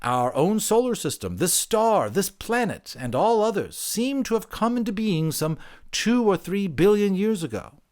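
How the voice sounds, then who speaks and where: A man's voice: high-pitched, sing-song, a little mellow, pitched above the performer's own voice. A man voicing a proud, indignant little sea creature in an audiobook, haughty and pompous in manner. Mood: lofty storytelling. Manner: haughty, pompous, slow and deliberate. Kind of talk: audiobook character voice.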